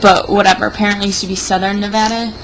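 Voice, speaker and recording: A woman's voice: mid-range voice, little pitch movement, very fast, fairly bright tone, poor audio. A young woman in a casual, rambling monologue, sounding tipsy and sardonic. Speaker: vlogger. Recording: noticeable background noise.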